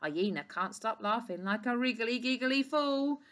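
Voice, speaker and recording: Woman doing an excitable, high-swooping zookeeper man. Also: measured pace. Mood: admiring. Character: excitable male zookeeper, admiring and dramatic.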